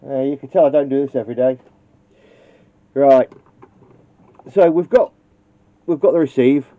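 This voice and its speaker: A man's voice: medium pitch, normal speed, dry recording. A man casually demonstrating how to wire a radio, thinking aloud and joking at his own expense. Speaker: CB radio technician. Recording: dull lo-fi recording.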